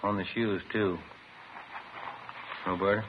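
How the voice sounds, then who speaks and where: A patient, skeptical male detective with a lowish voice. He presses in an understated, matter-of-fact way, in 1950s radio crime drama style. The recording is dull and faintly noisy. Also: fairly even intonation, slow. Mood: skeptical, probing.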